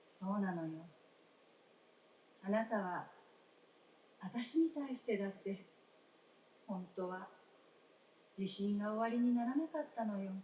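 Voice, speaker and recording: A woman playing a frank, gentle wife in a radio drama, speaking in polite, old-fashioned feminine phrasing. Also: mid-range voice, close to an everyday voice. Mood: frank, reproving. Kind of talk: staged radio drama acting. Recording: roomy stage, distant mic, faint noise.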